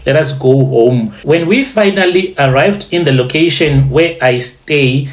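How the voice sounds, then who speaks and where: A man narrating a scripted first-person story in a deliberate, theatrical way, repeating phrases for emphasis. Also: fairly high pitch.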